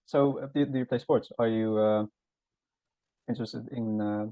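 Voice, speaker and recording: A man conducting a casual job interview, relaxed and informal, with frequent fillers. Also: mid-range voice, moderate pace, no echo. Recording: dry, dull lo-fi audio.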